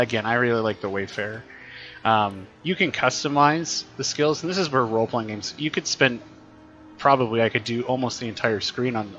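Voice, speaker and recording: A man, a teacher, chatting casually while playing a role-playing game, thinking aloud with frequent restarts and a slightly raspy voice. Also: fairly low pitch, a bit bright, muffled recording. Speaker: teacher streaming games. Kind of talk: casual gameplay commentary.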